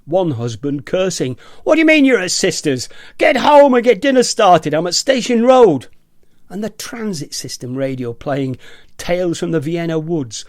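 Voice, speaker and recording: Guy playing a fed-up eccentric office rebel in a radio play, kinda high male voice, theatrical, agitated, relishing words, dry sound. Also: darkish tone, dry recording. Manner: theatrical, savouring words, mimicking nagging voices. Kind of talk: radio play monologue reading.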